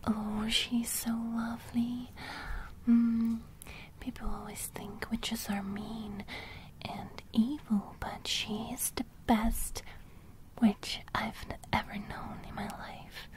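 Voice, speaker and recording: A young woman voicing a gentle, caring sleep fairy in a soft, soothing ASMR roleplay, close to the microphone. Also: fairly high pitch, level tone, slow. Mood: curious, gentle, then fond. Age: young adult. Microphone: close studio microphone.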